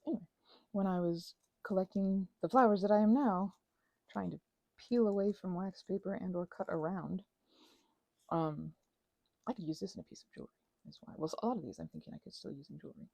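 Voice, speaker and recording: A woman's voice: medium pitch, fairly quick, creaky, darkish tone, no echo, muffled recording. A woman chatting casually and hesitantly in a meandering craft ASMR ramble, close to the mic. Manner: hesitant, meandering, self-interrupting. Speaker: host of a craft ASMR channel.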